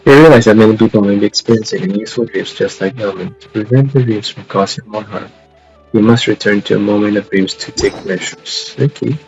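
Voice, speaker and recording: Gamer guy reading and reacting, lowish gravelly voice, bit of music behind. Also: fairly quick, a little mellow, muffled recording. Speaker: game streamer.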